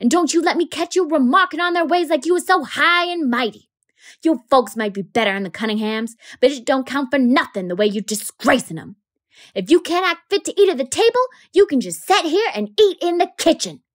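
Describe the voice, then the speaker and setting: A woman's voice: sits high, lively intonation, brisk, voice pushed up. A woman reading aloud, voicing a stern housekeeper who scolds a child sharply at the dinner table. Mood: scolding.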